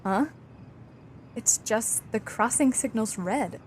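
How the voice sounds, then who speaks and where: A woman's voice: fairly high pitch, slow pace, a little hiss or hum. A woman playing a gloomy, self-pitying teenage outcast in an anime fan dub, with a brooding, introspective delivery. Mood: uncertain.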